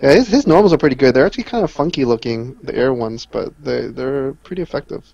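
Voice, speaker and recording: A man's voice: mid-range voice, lively intonation, fast talker, creaky, darkish tone, slightly rough, dry recording, dull audio. A man in a casual gaming discussion, inquisitive and checking what others mean.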